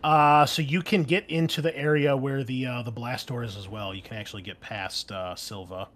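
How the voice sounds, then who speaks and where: A man's voice: medium pitch, fairly even intonation, normal speed, fairly bright tone. A man acting as game master, improvising narration and explaining rules in a conversational, wry way. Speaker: game master of a tabletop RPG.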